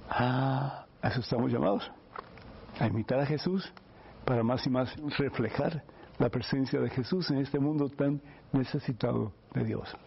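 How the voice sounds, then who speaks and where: Gravelly-voiced priest on muffled radio. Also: male voice, medium pitch. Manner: pastoral, slow and earnest with fillers. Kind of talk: radio sermon and advice answering listeners. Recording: muffled radio broadcast with faint hiss.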